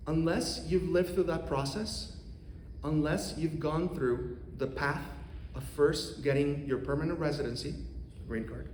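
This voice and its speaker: Costa rican guy in his 30s giving an earnest talk, kinda high voice, big roomy hall echo, faint room noise. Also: a little mellow. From Costa Rica. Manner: earnest, measured, with occasional restarts. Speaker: economics professor giving a talk. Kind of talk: prepared address to a congregation.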